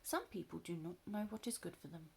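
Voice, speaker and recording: A woman doing a calm, wise old python. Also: fairly low pitch, brisk, vocal fry, husky. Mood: knowing. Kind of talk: storybook read-aloud.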